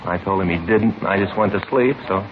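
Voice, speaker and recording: A man's voice: low-pitched, fast talker, close to an everyday voice. An American man acting as a tired, put-upon police detective, chatty and aggrieved, in a 1950s radio drama. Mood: exasperated. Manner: weary, chatty, aggrieved storytelling. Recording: muffled, hissy vintage radio recording.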